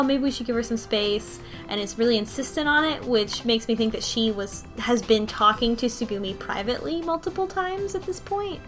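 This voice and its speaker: Chatty woman with a higher voice. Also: a bit bright. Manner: rambling recap with frequent ums and self-corrections. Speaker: podcast co-host. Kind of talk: casual chat recapping a visual novel.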